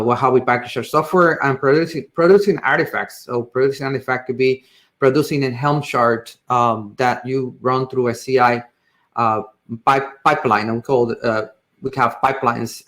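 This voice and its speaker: A man with a Puerto Rican accent, a native Spanish speaker, gives an informal technical presentation in halting, hesitant phrases. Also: mid-range voice, a bit bright. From Puerto Rico. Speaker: tech presenter.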